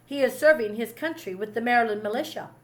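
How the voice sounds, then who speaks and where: An eager young storybook boy, read by a woman, with a fairly high voice. He sounds proud and keen, answering his elders respectfully. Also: quick pace, close to an everyday voice.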